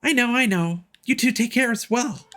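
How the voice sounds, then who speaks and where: A man's voice: extremely high pitch, moderate pace, sharp tone, faint music behind, pitched above the performer's own voice. A man voicing a stubborn, self-reliant young child who lives alone, from a video game. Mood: reassuring. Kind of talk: reading game dialogue in character.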